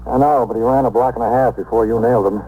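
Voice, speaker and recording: A man's voice: medium pitch, fairly even intonation, quick pace, phone-quality sound, natural voice. An actor playing a practical, plain-spoken police detective reporting to his superior in a 1950s radio drama. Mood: businesslike. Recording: noisy, phone-thin 1950s radio recording.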